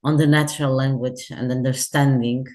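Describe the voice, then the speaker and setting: Low-voiced woman with Portuguese accent. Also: fairly even intonation, normal speed, mellow. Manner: thoughtful, restarts phrases, searches for words. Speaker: guest, head of customer services. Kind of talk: remote interview.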